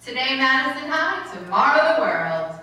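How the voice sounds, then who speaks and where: A woman's voice: sits high, big pitch swings, moderate pace, bright, forceful tone, full character voice. Woman playing a wry schoolteacher, very echoey. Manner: dry wisecracks under polite deference. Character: wry, sardonic female high school English teacher. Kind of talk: old-time radio comedy acting. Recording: echoey room from far off, faint noise.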